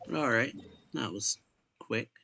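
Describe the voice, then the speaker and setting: A man giving casual, low-key gameplay commentary, thinking aloud in an offhand way. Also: a little slow, creaky, bright, forceful tone, slight background noise. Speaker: gaming streamer. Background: video game music and character voices.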